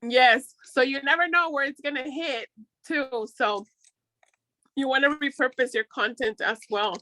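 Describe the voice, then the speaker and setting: Chatty woman with a bright, dry voice. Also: high voice, a little slow, dry recording, low-quality recording. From Mexico. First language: Spanish. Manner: chatty and encouraging, with frequent restarts. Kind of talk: casual workshop chat.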